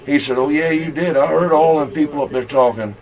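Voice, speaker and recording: A man with a Southern US accent telling folksy, halting, rambling stories in casual talk over CB radio. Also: a little high, a crowd in the background, low-quality recording. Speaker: CB radio operator.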